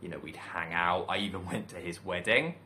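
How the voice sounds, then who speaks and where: Calm, deep-voiced man. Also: a little hiss or hum. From United Kingdom. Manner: calm, thoughtful, measured with pauses. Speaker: teacher and channel host. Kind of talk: reflective solo talk to camera.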